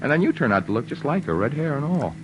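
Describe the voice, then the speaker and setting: A man's voice: mid-range voice, big pitch swings, fast, lo-fi sound, background noise, close to an everyday voice. A man playing a breezy, wisecracking young author. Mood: charming. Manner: joking, breezy and teasing. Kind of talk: 1940s radio acting.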